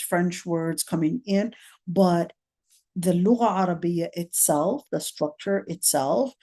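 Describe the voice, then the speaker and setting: A middle-aged woman delivers a classroom lecture. Her voice is mellow and warm, sitting on the lower side. She moves slowly, repeating points and checking in with her listeners. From Palestine. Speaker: teacher of Quran commentary.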